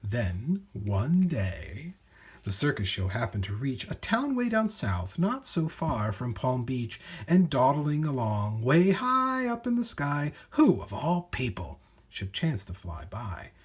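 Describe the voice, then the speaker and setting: Husky, very animated male storyteller. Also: medium pitch, slow. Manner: theatrical, rhythmic, emphatic. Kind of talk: expressive children's storybook reading in rhyme.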